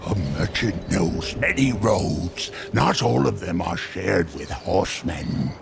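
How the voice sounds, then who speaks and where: A man voicing a sly, courteous otherworldly merchant in a fantasy game, with gravelly, drawn-out theatrical salesmanship. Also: low voice, animated, heavily acted. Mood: sly, inviting. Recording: music behind the voice.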